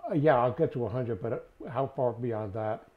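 A man's voice: medium pitch, normal speed. Wry older man co-host, lo-fi audio. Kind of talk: video call interview. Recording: dull lo-fi call audio.

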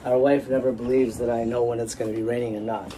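An older man explains boat repairs in a middle-pitched voice, his manner dry and matter-of-fact with a teasing edge. A steady hum of air conditioning and traffic sits clearly behind him. He is picked up by a phone another person holds aboard the boat. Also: quick pace, poor audio. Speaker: boat owner and co-host. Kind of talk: casual chat and practical explanation. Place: boat and marina dock, indoors and outdoors.

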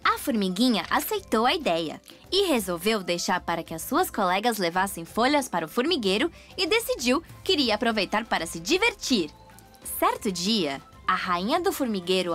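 A woman narrating children's cartoon stories in an expressive, sing-song way. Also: medium pitch. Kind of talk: scripted children's story narration.

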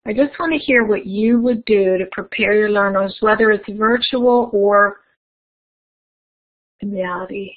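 A woman's voice: mid-range voice, measured pace. A woman giving a conference keynote as a webinar presentation, friendly and guiding the audience through an interactive activity. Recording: tinny phone-like webinar audio.

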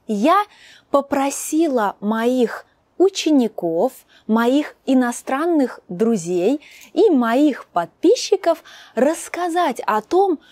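A young woman hosting a language lesson outdoors in a garden, speaking slowly and clearly with upbeat energy. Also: high voice, no echo. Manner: lively, upbeat, carefully articulated. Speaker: host and Russian teacher. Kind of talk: slow, clear teaching talk to camera.

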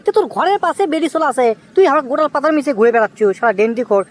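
A high, quick-talking young village bachelor played by a man in a comic cartoon, desperate for a wife. He whines and exclaims impatiently in a Bogura accent, his voice pitched up. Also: lively intonation. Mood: delighted. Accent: Bogura, northern Bangladesh.

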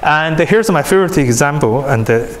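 A man whose native language is Chinese, giving a university lecture in a large hall, animated and often repeating words as he restarts sentences. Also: on the high side, normal speed. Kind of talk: classroom lecture.

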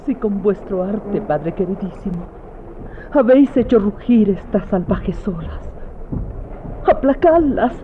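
A woman's voice: medium pitch, animated, unhurried, long pauses, close to an everyday voice. An actress playing an earnest, yearning young woman in an old radio drama, theatrical and carefully enunciated. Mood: pleading. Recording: tinny, noisy old radio archive recording.

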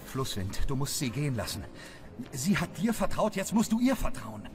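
Adventurers' leader, male actor: earnest, urgent, animated mid-range voice, very echoey. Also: mid-range voice, normal speed, lightly acted. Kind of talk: German fantasy radio drama acting. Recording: cavernous echo with music.

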